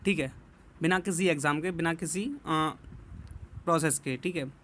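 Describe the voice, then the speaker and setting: Higher-pitched man explaining to viewers. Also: moderate pace, a bit bright, a little hiss or hum. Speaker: presenter warning students about a scam call. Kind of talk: informal explanatory talk to viewers.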